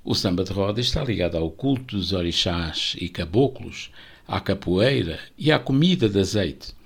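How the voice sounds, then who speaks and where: A man presenting a scripted educational piece in a steady, measured reading voice. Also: medium pitch.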